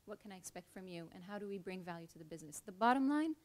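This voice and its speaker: A woman's voice: medium pitch, lively intonation, rapid-fire. A middle-aged woman from Israel, speaking earnestly on a panel as an HR leader and telling a personal story.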